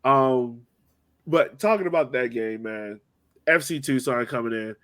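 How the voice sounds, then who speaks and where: A man's voice: mid-range voice, unhurried, fairly bright tone. A man hosting a sports podcast, chatting informally and slangily as a fan. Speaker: podcast host. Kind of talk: casual sports chat.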